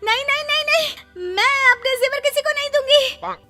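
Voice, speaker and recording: Woman acting high-pitched, melodramatic young daughter-in-law. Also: lively intonation, normal speed, pushed and bright, exaggerated voice. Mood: panicked. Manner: exclamatory, complaining. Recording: long reverb, music bed.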